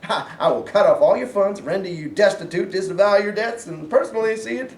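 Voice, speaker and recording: A man's voice: high voice, brisk. A man playing a stern, folksy former governor and father in a staged play reading. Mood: stern, threatening. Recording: roomy, distant, faint noise.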